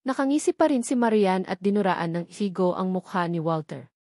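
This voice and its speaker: A woman's voice: medium pitch, lively intonation, normal speed, darkish tone, no room sound, clean studio sound. A woman narrating a dramatic novel from a script as an audiobook reader.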